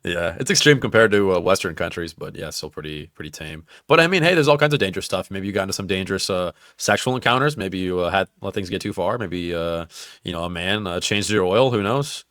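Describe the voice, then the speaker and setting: A man's voice: sits low, lively intonation, quick pace, fairly bright tone, dry recording, clean studio sound. A young man co-hosting a podcast, swearing freely and teasing his friend, laughing often. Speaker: podcast co-host. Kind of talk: casual crude banter.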